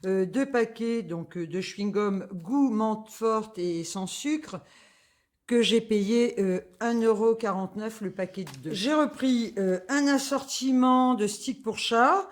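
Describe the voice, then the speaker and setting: A woman's voice: on the high side, takes it slowly. A woman talks through her shopping haul for her viewers. She rambles casually, dropping fillers and asides between prices. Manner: chatty, lots of fillers and asides. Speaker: vlogger. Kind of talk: casual grocery haul vlog.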